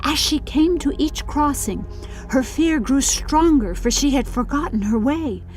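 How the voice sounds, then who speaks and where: A woman narrating a scripted fantasy audiobook in a formal, theatrical, measured storybook manner. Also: high-pitched, expressive, darkish tone. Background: faint music.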